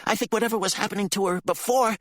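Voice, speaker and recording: Malingo, a man doing a high excitable male companion. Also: fast, dry recording, studio quality. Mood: anxious.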